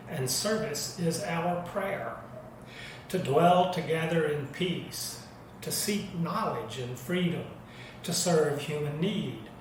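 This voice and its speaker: A man leading worship with a solemn scripted reading, measured and reverent. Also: on the high side. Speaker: worship leader. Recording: very echoey, distant mic, noisy background.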